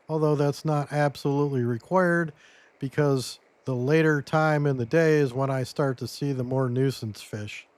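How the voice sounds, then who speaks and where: A man losing his voice a little, giving a relaxed step-by-step gameplay tutorial as commentary. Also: a little high, smooth, no echo. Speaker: game guide creator.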